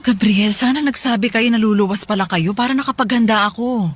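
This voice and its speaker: Wife role woman acting: secretive working mom, radio drama delivery, lightly high voice. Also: a little fast, a little hiss or hum, lightly acted. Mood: startled, evasive. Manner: hesitant, stammering when cornered. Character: working wife and mother hiding an affair.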